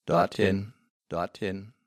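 A man guiding a self-confidence hypnosis session, speaking slowly and softly with long pauses and repeated words. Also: low-pitched, fairly even intonation, no echo, studio quality.

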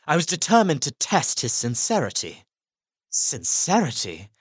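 A man playing an earnest, anguished young English gentleman held prisoner, narrating his diary dramatically in an audio drama. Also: on the high side, wildly swooping pitch, unhurried, breathy edge, no echo, heavily acted. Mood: indignant, pleading. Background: music under the voice.

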